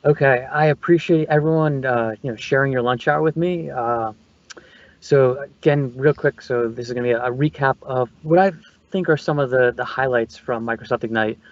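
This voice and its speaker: A man's voice: medium pitch, moderate pace, muffled recording. A man presenting as a guest consultant, talking casually from a small soundproof phone booth. He is good-humored and laughs easily. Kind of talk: casual conversation.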